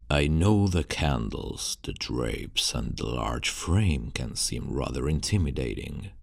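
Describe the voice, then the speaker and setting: A man's voice: extremely low pitch, vocal fry, darkish tone, no room sound, clean studio sound, heavily acted. A man playing a courteous, brooding vampire baron in an ASMR roleplay, speaking in a formal, old-fashioned, soothing manner. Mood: soothing, concerned. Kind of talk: ASMR roleplay, scripted monologue to the listener.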